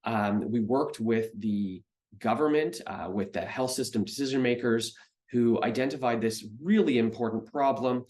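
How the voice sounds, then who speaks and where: Canadian man giving a relaxed recorded lecture. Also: medium pitch, moderate pace. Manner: conversational with frequent ums and uhs. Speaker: researcher giving a recorded talk. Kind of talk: presentation lecture.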